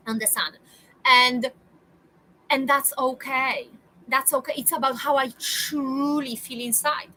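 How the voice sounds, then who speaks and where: A woman's voice: sits high, bright, forceful tone, a little hiss or hum, low-quality recording. A woman, giving a motivational talk to her viewers and repeating phrases for emphasis. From Poland. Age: 40s. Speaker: spiritual coach.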